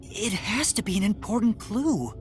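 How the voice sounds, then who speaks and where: A woman's voice: medium pitch, expressive, moderate pace, faint music behind, close to an everyday voice. A woman voicing an earnest, anxious, boyish young trainee detective in a video game, reasoning things out aloud. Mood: puzzled, earnest.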